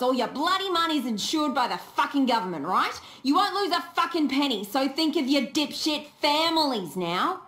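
A brash Australian woman robber from a video game, mocking and abusive. Her voice is high and sharp, with a clear echo around it. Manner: mocking, swearing, threatening. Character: brash, abusive, foul-mouthed young female bank robber. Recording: echoing room sound.

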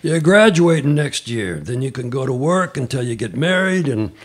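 A man's voice: mid-range voice, big pitch swings, normal speed. A man voicing a stern, domineering working-class father in a story, menacing as he lays down the law. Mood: stern, warning. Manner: pronouncing, menacing.

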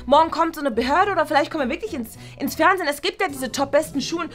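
A woman voicing a vain, excitable schoolgirl who talks in quick, indignant bursts in a toy play series. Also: high voice, soft background music, natural voice. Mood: excited.

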